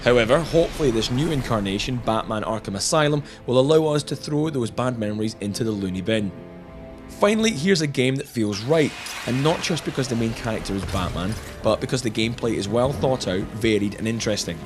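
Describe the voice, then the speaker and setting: A man's voice: mid-range voice, animated, a little fast, music in the background. A man narrating a scripted video game review with jokey, chuckling asides.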